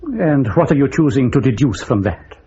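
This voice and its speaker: Animated spymaster, wry and sceptical. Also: male voice, medium pitch, moderate pace, breathy edge, lo-fi sound. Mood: sceptical, dry. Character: wry, sceptical male British intelligence officer. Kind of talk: radio drama acting.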